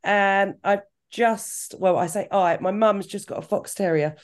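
A woman in her forties, stuffed up with a cold, talks casually as an interview guest. Her pitch sits in a middle range, and she chats freely with quick asides. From southern England. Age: 40s. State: bunged up with a cold. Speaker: guest, salon owner.

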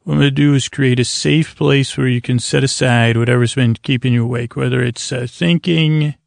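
Creaky-voiced man rambling softly at bedtime. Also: mid-range voice, no echo, studio quality. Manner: slow, languid, meandering, with long pauses. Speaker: podcast host. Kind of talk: rambling bedtime sleep podcast monologue.

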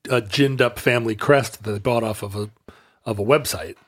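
A man's voice: medium pitch, moderate pace. A man co-hosting a podcast in casual chat, with dry humor, who closes the show in a mock-grand formal tone. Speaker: podcast co-host.

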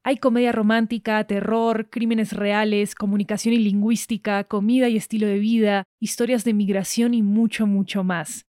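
An Argentine woman giving a scripted podcast announcement in a brisk, friendly, clear way. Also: mid-range voice, little pitch movement, warm tone, no room sound, studio quality. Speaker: podcast host and narrator.

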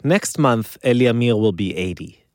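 A man hosting a podcast, narrating in a calm, measured way. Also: medium pitch. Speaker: podcast host. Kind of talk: scripted narration.

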